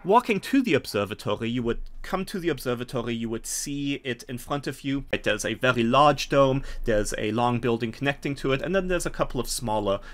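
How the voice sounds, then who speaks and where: A middle-aged man narrating a tabletop game as game master, conversational and halting as he thinks it through. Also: mid-range voice, animated, fairly bright tone. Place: home room, indoors, on a video call.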